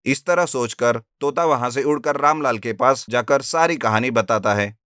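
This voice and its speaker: A man's voice: mid-range voice, normal speed, a bit bright, no room sound, studio quality. Man narrating a cartoon story. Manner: plain storytelling.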